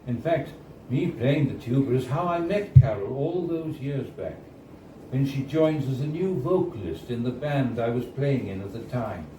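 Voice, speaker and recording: A man gives a live literary reading with an ordinary mid-pitched voice. He tells the story in a measured, wistful way with deliberate pauses. The recording is distant and roomy, with clear noise behind him. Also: animated, mellow. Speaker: reader of a short story. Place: indoors at a bar, live reading. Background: clear background noise and room ambience.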